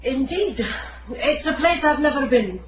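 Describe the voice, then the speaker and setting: A woman's voice: high voice, animated, unhurried. An actress playing a haughty, secretive lady of the house in a period radio drama. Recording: muffled with faint hiss.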